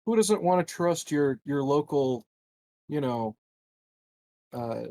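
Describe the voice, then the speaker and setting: A man's voice: a little high, animated, slow, darkish tone, no echo, clean studio sound. A man playing a tabletop game, chatting casually in a jokey, rambling way. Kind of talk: casual joking chat.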